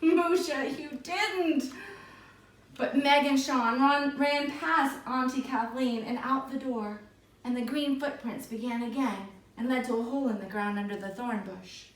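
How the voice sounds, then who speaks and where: A woman with a high voice narrates a children's story expressively, as if to a class. She sounds slightly away from the microphone in an echoey room. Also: steady tone, moderate pace, distant mic. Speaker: storybook reader for schoolchildren. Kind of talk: expressive children's read-aloud.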